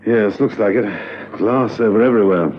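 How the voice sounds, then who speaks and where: An actor playing a suave, witty, unflappable British gentleman secret agent in a radio drama, urbane and wry. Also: lowish voice, moderate pace, close to an everyday voice. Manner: urbane, wry, flirtatiously droll. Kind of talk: classic spy radio drama acting. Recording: dull, noisy old radio recording.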